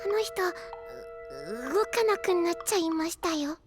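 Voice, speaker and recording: A man's voice: very high-pitched, wildly swooping pitch, unhurried, music in the background, full character voice. An actor playing a timid, sweet little boy knight, polite and hesitant, in an anime-style fantasy audio drama. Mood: timid, worried. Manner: hesitant, childlike, refers to himself by name.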